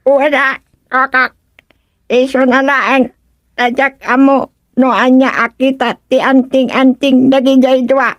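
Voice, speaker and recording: A woman acting a sly magical figure in a comedic radio drama, deliberate and given to frog croaks. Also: high-pitched, flat delivery, dry recording, dull audio. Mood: coaxing, smug.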